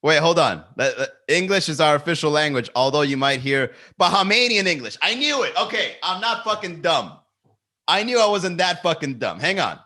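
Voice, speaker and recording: A man's voice: on the high side, big pitch swings, normal speed, bright, forceful tone. A man hosting a comedy podcast, riffing on song lyrics with swearing and lots of rhetorical questions.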